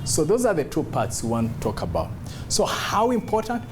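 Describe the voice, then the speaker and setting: A man coaches public speaking in an instructional talk. His pitch moves up and down in a lively way, and he stresses and repeats key phrases as he demonstrates. There is clear noise and hum behind his voice. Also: normal speed.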